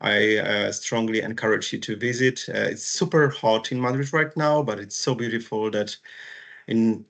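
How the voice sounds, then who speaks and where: A man, chatting casually on a video call as co-host, pausing often with fillers. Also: fairly low pitch, gravelly, a bit bright. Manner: hesitant, many fillers. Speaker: co-host, business and wellbeing strategist.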